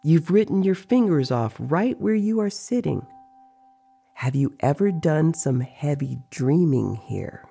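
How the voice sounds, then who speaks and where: A man's voice: a little high, animated, measured pace, creaky, dark tone, husky, soft background music, voice pushed up, full character voice. A man voicing a mischievous, teasing older male sorcerer in a dramatized audio story. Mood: playful. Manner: playful, teasing.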